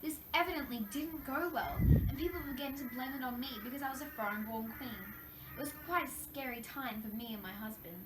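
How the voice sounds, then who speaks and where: High-pitched woman playing a wronged young queen, echoey. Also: fairly quick, lightly acted. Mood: troubled, earnest. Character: Marie Antoinette, wronged young French queen recalling her troubles. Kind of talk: scripted question-and-answer role play. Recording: echoey room, distant mic, faint noise.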